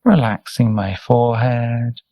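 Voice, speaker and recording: A man leading a guided meditation as a teacher, speaking gently and soothingly in short, unhurried instructions with pauses. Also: mid-range voice, steady tone, dry recording, studio quality. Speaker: meditation teacher, Buddhist monk.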